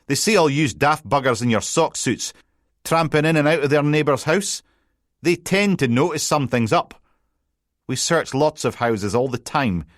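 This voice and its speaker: A man's voice: on the high side, animated, normal speed, no room sound, studio quality, pitched above the performer's own voice, lightly acted. Man doing a smug Scottish newspaperman. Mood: smug teasing. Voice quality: brash, needling. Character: cocky Scottish male newspaper editor, smug.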